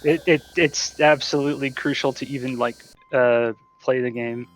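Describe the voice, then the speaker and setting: A man giving casual live gameplay commentary as a speedrunner, in a halting, hesitant way. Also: mid-range voice, steady tone. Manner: halting, hesitant delivery with repeated words. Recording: dull lo-fi mic, faint music.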